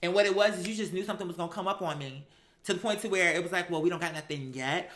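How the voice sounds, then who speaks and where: A woman telling a personal story in an animated, sassy, emphatic way, with slangy, profane language and acted-out dialogue. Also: on the low side, very fast, roomy sound. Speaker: storyteller recounting a personal experience.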